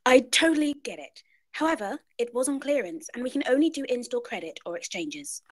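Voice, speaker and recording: An actress playing a polite, apologetic store clerk at a script table read. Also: female voice, fairly high pitch, animated, a little fast, husky.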